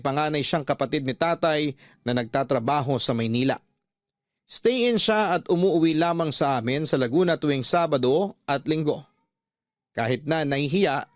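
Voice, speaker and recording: A man's voice: fairly high pitch, dry recording, clean studio sound. A man reading a scripted first-person story as a radio storyteller.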